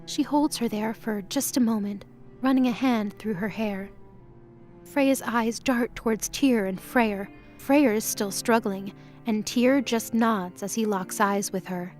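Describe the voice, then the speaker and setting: High-voiced woman narrating drama over music. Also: a little slow, darkish tone.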